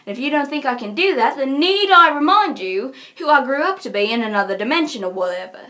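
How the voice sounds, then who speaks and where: A woman's voice: high-pitched, animated, fast talker, pushed and bright. A woman voicing a brash, scheming troll girl with slangy, cocky swagger for a cartoon character reel. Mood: cocky, menacing.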